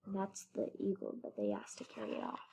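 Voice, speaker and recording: A woman's voice: extremely low pitch, wildly swooping pitch, fast talker, creaky, warm tone, a little hiss or hum, poor audio. A female reader narrating a children's storybook aloud.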